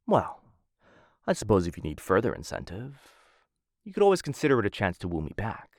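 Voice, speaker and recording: A man performing an ASMR roleplay as a suave, smitten assassin of a noble house, speaking in formal, courtly phrases with hesitant softer asides into a close mic. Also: on the low side, huge pitch swings, brisk, no room sound. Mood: suave, courting. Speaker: voice actor in a boyfriend roleplay audio. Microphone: close studio-style mic.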